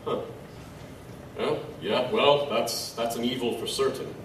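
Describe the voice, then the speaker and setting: A man playing a wry, knowledgeable tribesman in an audio drama, measured and musing as he tells lore. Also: medium pitch, fairly even intonation, natural voice. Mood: grave, explaining. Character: wry, knowledgeable Chinook tribesman, old friend and lore-teller. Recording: roomy, distant, faint background noise.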